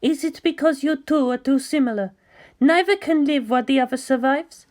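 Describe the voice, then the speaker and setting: A woman plays a devoted young female follower in an audio story. Her voice is high, and she speaks in measured, declarative lines. She is coolly zealous, with bitterness and disdain in her manner. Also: darkish tone, close to an everyday voice. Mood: bitter, disdainful. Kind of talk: scripted audio drama reading.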